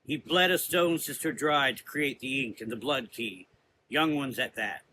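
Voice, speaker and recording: A man acts out a brooding occult adventurer in gothic horror audio drama. He speaks solemnly and formally, cryptic in manner, with a clear forward brightness to the voice. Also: mid-range voice, unhurried, a little hiss or hum, rough recording. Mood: grave.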